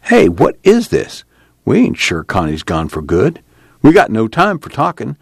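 A man's voice: on the low side, huge pitch swings, unhurried, creaky, slightly rough, no echo, studio quality, heavily acted. Man reading as a blunt young farmhand. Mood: determined. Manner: blunt rural speech. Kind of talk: reading a novel aloud.

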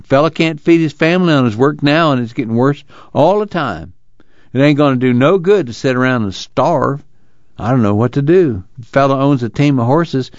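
A man's voice: medium pitch, dry recording, highs cut off, voice pushed up, lightly acted. A man voicing a frustrated migrant laborer, folksy and emphatic, with a rural American accent.

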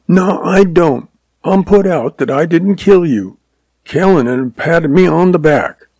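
Guy doing a cocky little cowboy kid from a western story, high voice, blunt and defiant. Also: lively intonation, measured pace, a little mellow, no echo. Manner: self-important, blunt. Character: defiant, cocky frontier boy who idolizes gunmen.

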